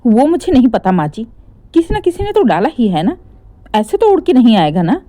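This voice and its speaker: A woman's voice: a little high, sing-song, normal speed, dark tone, no room sound. A woman voicing a cornered young daughter-in-law in a moral story, pleading and anxious. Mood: defensive. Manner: pleading, repeating please.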